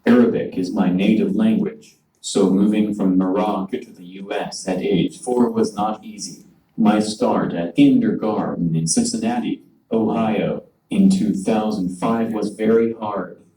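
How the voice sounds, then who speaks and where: A computer-generated man's voice giving a prepared conference speech in a measured, formal way. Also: on the low side, roomy sound, far from the mic, slight background noise, low-quality recording. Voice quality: synthetic, even device voice. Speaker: conference speaker.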